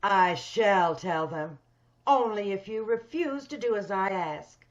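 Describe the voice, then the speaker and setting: A woman's voice: medium pitch, animated, slow pace. A woman playing a bitter, wealthy, commanding woman in an old-time radio drama. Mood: determined. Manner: cold, insistent, commanding. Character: wealthy, determined, bitter ugly woman scheming for a new body. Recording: dull video-call audio.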